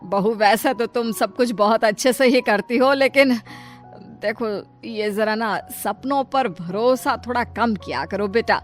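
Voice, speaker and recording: Woman as a high-voiced, chiding mother-in-law. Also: measured pace, over music, close to an everyday voice. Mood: gently cautioning. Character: sceptical, scolding middle-aged mother-in-law. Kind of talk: scripted cartoon story dialogue.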